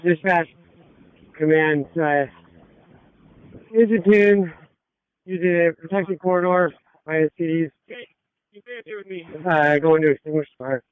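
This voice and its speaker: A fairly high, smooth male voice on emergency radio. The sound is dry and dull as he makes terse calls. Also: steady tone, unhurried, long pauses, no echo. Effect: two-way radio. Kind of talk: terse fire and police radio traffic. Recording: dull, narrow scanner radio.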